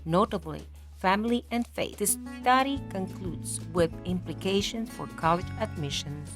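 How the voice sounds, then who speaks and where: A woman's voice: medium pitch, animated, a little slow. A woman reading a scripted research narration in a formal, academic manner. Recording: music behind the voice. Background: music.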